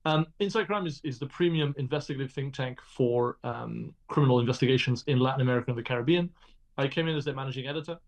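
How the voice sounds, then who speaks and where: A middle-aged man answers interview questions in a steady, articulate way. His voice sits at an ordinary middle pitch, and he sometimes backs up to rephrase before pressing on. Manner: articulate and measured, occasional restarts. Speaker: guest, crime journalist.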